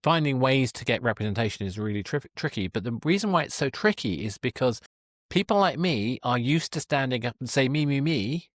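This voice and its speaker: A man's voice: medium pitch, animated, fairly quick, dry recording, studio quality. A man hosting a podcast, chatting casually with his guest in an earnest, discursive manner. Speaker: podcast host. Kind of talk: casual interview conversation.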